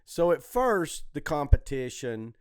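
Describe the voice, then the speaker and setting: A man's voice: a little high, very animated, measured pace, clear, resonant tone. A man hosting a podcast in casual conversation, rambling and repeating himself with salty language. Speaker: podcast host. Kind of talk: casual podcast chat about comedy.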